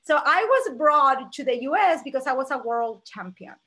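Energetic woman from Argentina, forties. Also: sits high, moderate pace. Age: 40s. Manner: energetic, animated storyteller. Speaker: guest. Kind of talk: conversational interview storytelling.

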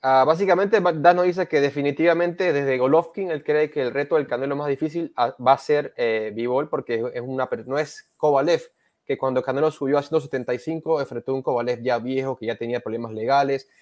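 A man co-hosting an interview, relaying a guest's answers in a brisk, running summary. He speaks quickly in a mid-range voice and moves between two languages. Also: medium pitch, darkish tone. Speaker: co-host. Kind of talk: bilingual interview, translating.

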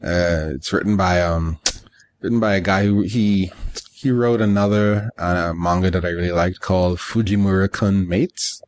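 This is a man with a low voice, co-hosting a casual chat show. He talks in an offhand, conversational way and acts out the remarks he quotes. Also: steady tone, moderate pace, darkish tone, dry recording. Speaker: podcast co-host. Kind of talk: casual chat about games and manga.

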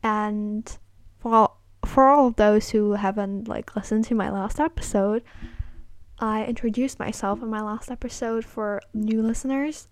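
A woman's voice: a little high, steady tone, measured pace, dry recording. An eighteen-year-old woman hosting a casual solo podcast on camera. She rambles hesitantly with many "like" fillers and apologizes self-consciously. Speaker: podcast host.

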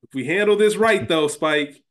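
A man's voice: on the high side, normal speed, a bit bright. An American man co-hosting a podcast in casual, bantering chat. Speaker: podcast co-host.

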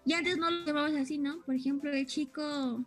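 A woman's voice: high voice, steady tone, brisk, faint music behind. A young woman chatting casually, explaining things and often searching for the right words. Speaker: co-host explaining anime terms. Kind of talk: casual chat.

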